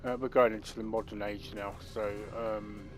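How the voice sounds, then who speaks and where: A man's voice: on the low side, flat delivery, normal speed, music in the background. A man giving casual, rambling, opinionated commentary on a video game. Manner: rambling and opinionated, many fillers. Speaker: gaming commentator.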